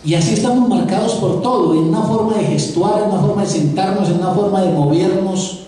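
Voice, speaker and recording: A man's voice: sits high, fairly quick, dark tone. A man with a Paisa accent from Antioquia, giving a live lecture as a professor, talking conversationally to his audience and often repeating words while he thinks. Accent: Paisa (Antioquian Spanish). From Antioquia, Colombia. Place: auditorium. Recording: echoey auditorium from far off, faint noise.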